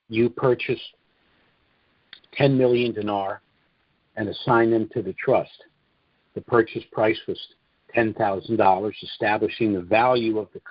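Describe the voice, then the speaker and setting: A man giving a scripted sales presentation on a conference call in a measured, explanatory manner, with muffled, roomy audio. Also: lowish voice, husky. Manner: measured, explanatory, asks and answers own questions.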